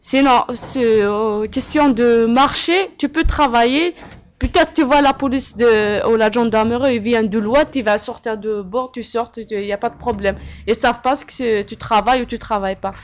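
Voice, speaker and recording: A young woman from Kabylie in Algeria, answering interview questions in a halting, plain-spoken way with frequent fillers. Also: a little high. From Algeria (Kabylie, Tizi Ouzou). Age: young adult. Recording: muffled lo-fi recording.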